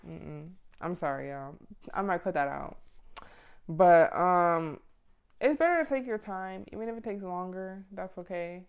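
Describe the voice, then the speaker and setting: Candid young woman with a smooth low voice. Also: a little slow, muffled recording. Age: mid 20s. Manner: candid, informal, blunt, slangy. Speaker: vlogger giving self-improvement advice. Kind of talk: casual motivational chat to camera.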